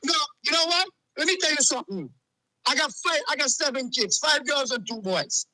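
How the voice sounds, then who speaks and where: A man's voice: very high-pitched, lively intonation, fairly quick, bright, forceful tone, no echo, poor audio. A man, calling in to a show and ranting heatedly, repeating himself. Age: 40s. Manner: agitated, repetitive, keeps saying "let me tell you something". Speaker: caller. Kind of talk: heated call-in rant.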